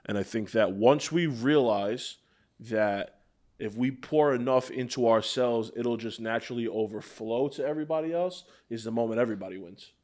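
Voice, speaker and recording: A man's voice: medium pitch, normal speed, darkish tone. A man hosting a podcast, earnest and laid-back, who talks casually and calls people "bro". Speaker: podcast host. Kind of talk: casual chat.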